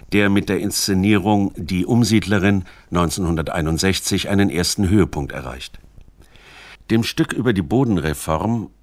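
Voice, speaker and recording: Deep male radio doc narrator, formal and measured, dry close studio sound. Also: no room sound. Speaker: documentary narrator. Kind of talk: scripted radio documentary narration.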